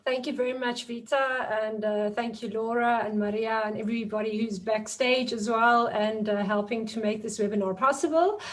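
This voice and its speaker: A woman in her forties, a guest lecturer speaking in a thoughtful, measured way with some fillers. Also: on the high side, steady tone. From South Africa. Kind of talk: webinar discussion.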